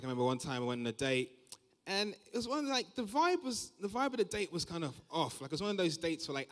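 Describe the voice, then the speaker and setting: A young man with a London accent doing live stand-up, telling observational anecdotes conversationally and acting out quoted remarks. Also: medium pitch. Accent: London English. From Southeast London, England. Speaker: stand-up comedian. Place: large comedy venue, on stage. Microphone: stage microphone. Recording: reverberant hall, live stage microphone.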